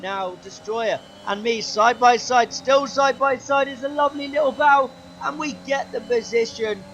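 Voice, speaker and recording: Young guy calling his own race, voice going hoarse, pretty high, gets shouty when stuff happens. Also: moderate pace, dull audio, a little hiss or hum. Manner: excitable, shouts at big moments. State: losing his voice. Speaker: sim racing driver commentating his own race. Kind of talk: gaming commentary.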